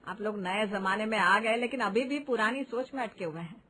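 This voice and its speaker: A woman's voice: mid-range voice, fairly quick, bright, forceful tone, a little hiss or hum, close to an everyday voice. A woman voicing a progressive mother-in-law in a cartoon drama, lecturing others with defiant reproach. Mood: defiant, reproachful. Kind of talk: animated family drama voice acting in Hindi.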